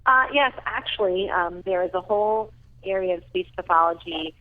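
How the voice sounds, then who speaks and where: An enthusiastic woman speaking with a fairly low voice over a thin, tinny phone line. She talks earnestly, with many ums and small restarts. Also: normal speed. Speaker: rehabilitation director, guest. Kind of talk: radio interview.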